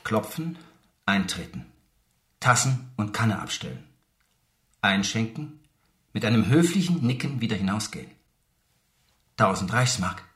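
A man's voice: fairly low pitch, sing-song, a little slow, long pauses, a bit bright. A man acting in a radio drama as an anxious, insistent screenwriter who explains and instructs precisely. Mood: instructing, firm. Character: anxious, insistent male novelist turned screenwriter, short of money. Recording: roomy, echoing interior sound.

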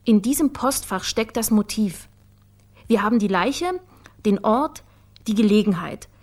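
Female investigator for radio crime drama: mid-range voice, clear-headed, matter-of-fact, methodical. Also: medium pitch, measured pace, a little mellow. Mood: analytical. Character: sharp, methodical woman investigator working for the prosecutor. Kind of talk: naturalistic German radio crime drama acting.

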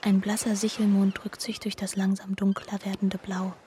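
Female, actress as wistful young woman for radio drama: breathy, hushed, flat-toned, tender, faint ambience behind. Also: medium pitch, normal speed, a little hiss or hum, natural voice. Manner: hushed, breathy, dreamy recounting. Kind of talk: literary radio drama monologue.

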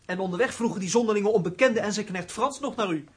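A male actor playing a simple, eager country lad in a Dutch radio play, with a naive, chattering, husky delivery. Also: sits high, fast. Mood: eager, pleased. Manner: naive, chattering, boastful, obedient.

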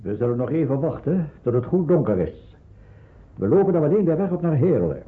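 A man's voice: a little high, big pitch swings, fairly quick. An actor playing a practical, cautious man who helps people in hiding, matter-of-fact and instructing, in a Dutch radio play. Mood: businesslike. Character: practical, cautious male resistance helper guiding refugees. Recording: muffled narrowband old radio play.

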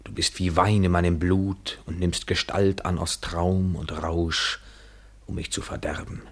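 An actor playing a world-weary, detached lover in an intimate German radio play, restrained and brooding. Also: male voice, flat delivery, a little slow. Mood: feverish passion. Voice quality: low, husky.

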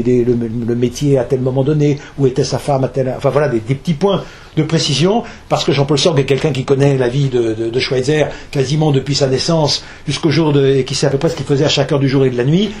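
A man's voice: medium pitch, darkish tone. A man, from Alsace, speaking as an author at a public talk, hesitant, with many fillers and restarts. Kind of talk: lecture with audience questions.

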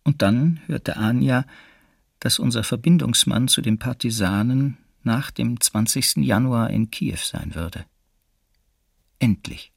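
An actor playing a sober wartime witness who recounts his arrest in measured first-person testimony, in a documentary radio play. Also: mid-range voice, vocal fry, slightly rough, no room sound, studio quality. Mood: sober, tense.

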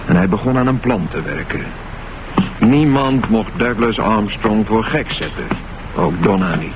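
A man narrating a scripted radio drama in measured, deliberate short phrases. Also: fairly low pitch, animated. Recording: noisy, dull lo-fi radio recording.

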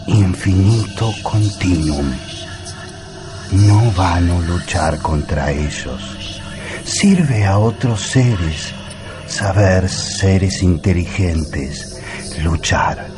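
A wise old alien in a radio drama, deep and extremely unhurried. He is calm and cryptic, speaking in halting, broken syntax, with music clearly behind him. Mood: calm, urging. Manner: halting broken syntax, solemn, fragmented phrases.

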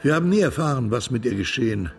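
Gravelly, wistful émigré veteran, acted by a man. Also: mid-range voice, expressive, fast talker, soft background music, lightly acted. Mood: reminiscing. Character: wistful male Russian émigré veteran recalling old adventures. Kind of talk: radio drama dialogue, storytelling.

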